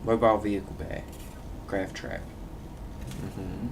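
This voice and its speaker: Low-voiced man over clear background noise. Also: little pitch movement, measured pace. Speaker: video game player. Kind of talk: casual gameplay commentary, reading game text aloud. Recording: clearly noisy recording with background hum.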